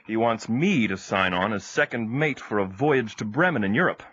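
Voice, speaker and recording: A man's voice: fairly low pitch, expressive, normal speed, fairly bright tone, husky, lo-fi sound. A man playing a courteous, earnest young seaman of the early 1800s in an audio drama, slightly formal and fond. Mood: earnest, tender. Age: young adult.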